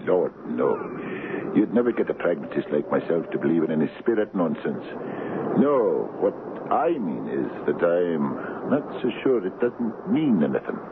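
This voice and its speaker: An actor playing a cautious, kindly doctor in a 1970s radio drama, hesitant and measured. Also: male voice, low voice, very animated. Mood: dismissive, cautious. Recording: muffled, hissy old radio broadcast.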